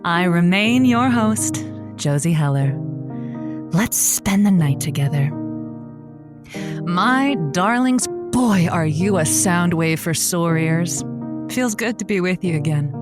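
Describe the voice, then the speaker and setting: A woman's voice: fairly low pitch, expressive, takes it slowly, over music. An actress playing a flirty, pun-loving woman who hosts a lonely-hearts radio show, with playful theatrical delivery. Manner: theatrical, punning, endearments to listeners. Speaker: radio host. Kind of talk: playful scripted radio-host patter.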